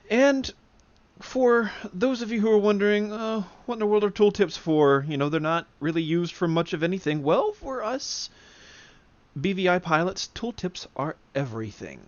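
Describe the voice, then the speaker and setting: A man with a fairly high voice walks listeners through a demonstration in a casual, halting manner, often clearing his throat. The recording is dry and somewhat dull, with little room sound. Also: dry recording. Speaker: demonstrator, flight simulator enthusiast. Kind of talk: casual spoken tutorial demonstration.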